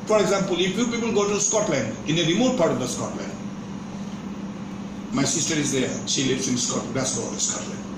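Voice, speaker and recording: A man gives a slow, measured lecture, repeating himself and restarting phrases as he goes. His voice sits a little high and comes from across the room, thin and distant. An air conditioner hums under an echoey classroom sound. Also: background noise. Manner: measured, repetitive, stops and restarts. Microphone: far room microphone.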